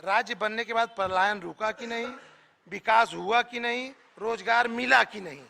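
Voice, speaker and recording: A man's voice: high voice, moderate pace, vocal fry, sharp tone, slight background noise. A man working as a TV reporter, questioning people in a political debate and insistently repeating himself to cut in. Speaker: TV reporter hosting a public debate. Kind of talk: street political vox-pop interviewing. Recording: reverberant, echoing sound.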